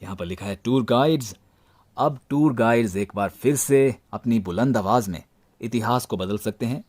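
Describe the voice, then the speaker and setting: An Indian man narrating a gadget review as its presenter, with a deadpan, tongue-in-cheek delivery. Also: mid-range voice, no echo.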